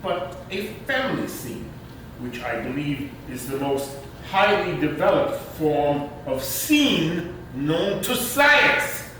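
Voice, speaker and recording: Man acting a slow, pompous uncle, echoey stage. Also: sing-song, a bit bright, full character voice. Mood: formal, stern. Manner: pompous, formal, sardonic. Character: pompous, wordy bachelor uncle of forty-two, easily affronted. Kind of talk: staged readers theater comedy. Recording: echoey hall, far-off mic, clear rumbling noise.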